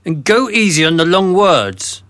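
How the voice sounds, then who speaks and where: Man to play overbearing sinister boss in radio drama: pompous, clipped, highish voice, swooping intonation. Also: sing-song, unhurried, fairly bright tone, no echo, heavily acted. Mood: stern, belittling.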